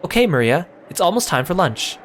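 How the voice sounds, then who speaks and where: A remorseful mother pleads and apologizes, as a man reads her part aloud. The voice sits fairly high and swings widely in pitch, with a faint hiss of noise behind it. She sounds apologetic and desperate to be forgiven. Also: very animated, fairly quick, a bit bright. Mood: gently coaxing.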